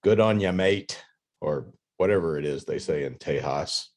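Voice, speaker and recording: A man's voice: extremely low pitch, normal speed, darkish tone. A man hosting a podcast, reading scripted announcements with casual, tongue-in-cheek asides. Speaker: podcast host and book editor.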